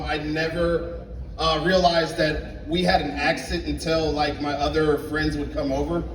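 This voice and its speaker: A young man from Liberia performing a stand-up comedy set in a club, telling animated stories and acting out both sides of the dialogue. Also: a little high. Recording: echoey club sound from a distance. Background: audience laughter.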